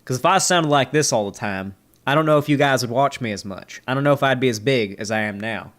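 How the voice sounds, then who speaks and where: A man's voice: medium pitch, fast talker, a bit bright. A young man from Florida with a slight Southern accent, talking in a casual, rambling way full of fillers, his voice a little nasal after a sneeze. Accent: slight Southern American. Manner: rambling, filler-heavy, frank. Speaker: streamer reviewing debates. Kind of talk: casual commentary chat.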